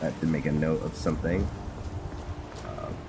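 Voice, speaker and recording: A man doing casual, rambling gameplay commentary, thinking aloud and pausing mid-sentence as he hosts. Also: a bass voice, creaky. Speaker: gameplay host narrating a roleplay series. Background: music behind the voice.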